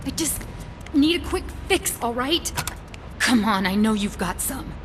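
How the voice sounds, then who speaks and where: High, wheedling female addict. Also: animated, measured pace, noisy background. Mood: needy pleading. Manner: needy, wheedling. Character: desperate, pleading female drug addict. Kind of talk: video game acting.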